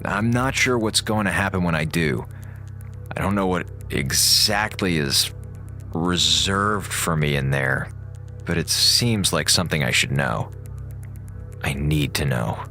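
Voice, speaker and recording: A sardonic space station radio officer, sharp forceful voice, kinda low, theatrical radio drama monologue. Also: male voice, unhurried, faint music behind, full character voice. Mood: solemn, apprehensive.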